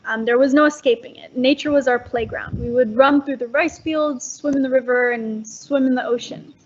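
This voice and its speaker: High, breathy teenage girl on a call. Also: fairly even intonation, normal speed, muffled recording. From Indonesia. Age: teens. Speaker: guest. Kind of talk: casual interview answers. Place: home bedroom at night.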